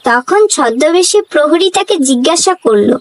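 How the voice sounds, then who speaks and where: A woman's voice: high-pitched, lively intonation, moderate pace, dry recording. A woman narrating a children's cartoon fable in a scripted storybook style.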